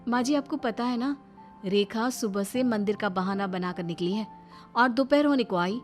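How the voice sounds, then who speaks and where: A woman's voice: a little high, normal speed, soft background music, natural voice. A woman playing a resentful young daughter-in-law in a family story, complaining and justifying herself. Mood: meek complaining. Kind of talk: dramatised Hindi family story.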